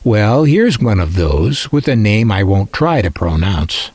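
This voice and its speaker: A man narrating a scripted piece as a hobbyist collector, with reflective, measured phrasing. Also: on the low side, sing-song, a little mellow, breathy edge, no echo. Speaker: radio collector presenting their collection.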